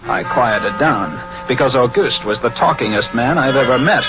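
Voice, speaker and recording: A lighthouse keeper played by a man recounts his story with measured, vivid phrasing and a wry edge. It is vintage radio narration, muffled, with prominent music behind the mid-range voice. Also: medium pitch, expressive, natural voice. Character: male lighthouse keeper recalling a grim tale, wry and vivid. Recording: muffled old radio recording with loud music.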